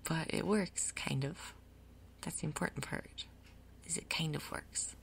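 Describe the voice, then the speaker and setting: A woman doing soft-spoken ASMR game commentary in a breathy half-whisper, with a casual, rambling, unhurried manner. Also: deep voice. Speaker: gaming video host.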